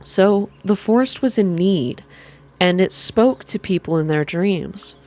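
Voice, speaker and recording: A woman's voice: mid-range voice, expressive, slow pace, darkish tone. A woman narrating a story aloud in an ASMR reading style.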